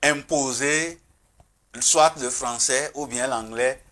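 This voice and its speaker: A man speaking as a traditional spiritual guest on a TV talk show, earnest and emphatic, with pauses and restarts. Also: medium pitch, fairly bright tone.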